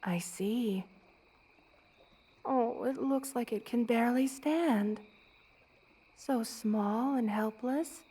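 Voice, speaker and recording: A woman playing a motherly, regal spider queen who is gentle and protective, in a tender ASMR roleplay. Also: on the high side, slow, long pauses, a little hiss or hum. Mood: hushed pity. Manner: tender, cooing, doting, with regal commands.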